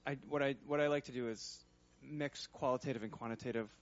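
A man's voice: mid-range voice, steady tone, fairly quick. A man in a workshop audience talks through his research work in a middle-range voice. He rambles and hesitates, circling his point with fillers. The sound is dull and lo-fi. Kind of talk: casual workshop Q&A.

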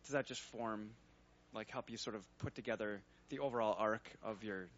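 A man's voice: fairly low pitch, normal speed, lo-fi sound. A man, a user researcher, talking in a casual workshop Q&A, rambling and hesitant with many fillers.

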